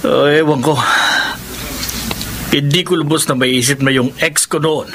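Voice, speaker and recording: Over clear background noise comes a middle-aged Filipino father in a radio drama. He is anxious and exasperated, weighed down by guilt, with a voice a little higher than usual. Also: fairly even intonation, measured pace. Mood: wistful, reflective.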